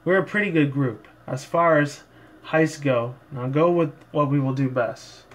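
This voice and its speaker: A thoughtful cartoon pony girl who does the planning, read by a man. The delivery is measured and unshowy, as in a story read aloud. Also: fairly high pitch, lightly acted.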